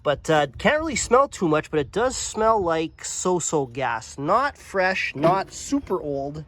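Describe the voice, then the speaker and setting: A man with a slightly higher voice, a touch nasal, talks casually as he tinkers in his home workshop. He thinks aloud in a chatty, offhand way, with a faint hum of noise underneath. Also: fairly bright tone. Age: young adult to middle-aged, father of a daughter. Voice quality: slightly nasal from a sinus infection. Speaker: workshop channel host. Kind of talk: casual repair vlog narration. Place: home workshop or yard beside a mower.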